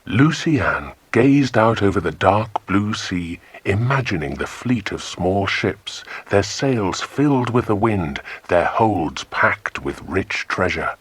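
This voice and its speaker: Male British narrator for a children's adventure audiobook, deep and husky, expressive, measured delivery. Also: no room sound. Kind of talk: scripted storybook narration.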